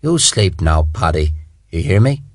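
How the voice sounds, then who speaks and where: A man doing a gruff, tender Irish sergeant. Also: on the high side, very animated, takes it slowly, no room sound, clean studio sound, pitched above the performer's own voice. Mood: soft soothing. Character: rough, tender Irish army sergeant, middle-aged man.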